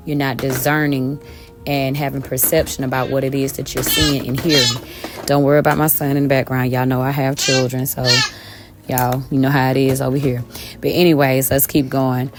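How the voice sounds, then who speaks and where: A woman with a raspy, slightly hoarse voice, teaching scripture to her viewers from home in a casual way, calling them y'all with many ums. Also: a bass voice, flat delivery, normal speed, over loud music. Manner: talks directly to viewers as y'all, with many um fillers. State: a little hoarse. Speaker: online Bible teacher. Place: at home with her children around.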